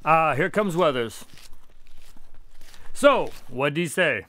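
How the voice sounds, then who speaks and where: An actor playing a proper, doubting doctor and detective's sidekick in a radio drama, politely formal and dry in manner. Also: male voice, fairly high pitch, lively intonation, slow, fairly bright tone, dry recording. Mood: doubtful. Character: skeptical, proper male doctor, detective's long-suffering sidekick.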